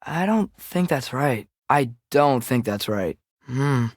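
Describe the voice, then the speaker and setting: A man's voice: medium pitch, animated, takes it slowly, dry recording, clean studio sound. A young male actor playing a curious, watchful teenage boy adventurer in a video game, giving short companion callouts. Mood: doubtful, musing.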